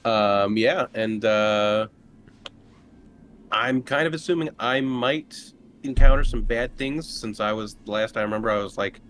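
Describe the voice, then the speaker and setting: A man chatting casually and haltingly as a player on a tabletop game podcast. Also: on the low side, fairly bright tone, slight background noise. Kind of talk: casual chat.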